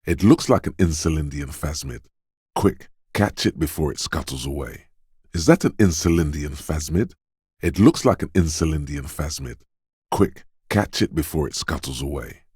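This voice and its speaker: Super deep suspicious inner voice for a detective game, knowing and urging, totally dry sound. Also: male voice, expressive, moderate pace, a little mellow, dry recording, full character voice. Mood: intense. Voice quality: very deep, smooth, resonant. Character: detective's quick-witted, suspicious inner voice of intuition. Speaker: voice actor narrating a video game. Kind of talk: scripted game narration, second-person inner voice.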